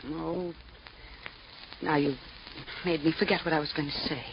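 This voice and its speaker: An actress playing a scolding but soft-hearted girlfriend in a 1940s radio drama, with expressive delivery. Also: deep voice, normal speed, heavy background noise. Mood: softening. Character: peeved, affectionate woman scolding her late boyfriend. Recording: muffled old radio recording, loud music.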